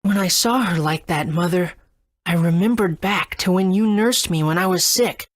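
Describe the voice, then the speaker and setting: A woman's voice: lowish voice, normal speed, dry recording, studio quality, lightly acted. A woman voicing an earnest, good-natured young boy adventurer in a video game. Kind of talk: English game dub.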